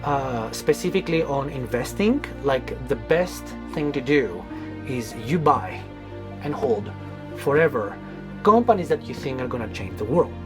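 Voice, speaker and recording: A man from Greece co-hosts a travel vlog, talking eagerly with a few halting fillers. His voice sits at a middle pitch. Music plays clearly under the talk. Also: expressive. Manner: enthusiastic, a bit halting with fillers. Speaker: vlog co-host. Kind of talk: casual chat to camera.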